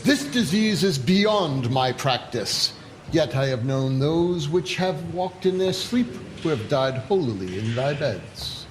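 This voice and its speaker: A man's voice: a little high, big pitch swings, slow, a little hiss or hum, rough recording. A man playing a stage actor who declaims as a solemn, formal Shakespearean doctor in an audio drama.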